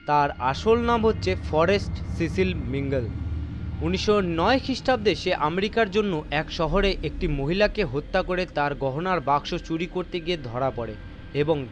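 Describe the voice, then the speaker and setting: High-pitched man narrating suspense. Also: a little hiss or hum. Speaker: story narrator. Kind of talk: dramatic scripted horror and mystery narration. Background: faint music.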